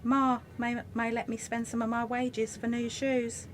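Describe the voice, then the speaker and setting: A woman's voice: fairly high pitch, level tone, measured pace, slight background noise, lightly acted. A woman playing a weary, grumbling teenage factory girl in a wartime radio play monologue. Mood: resigned. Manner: complaining, resigned.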